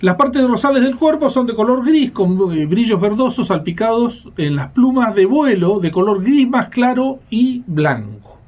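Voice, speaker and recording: High-pitched, animated Argentine man hosting radio. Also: a little slow, few pauses, lo-fi sound. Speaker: radio host. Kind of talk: conversational educational radio talk.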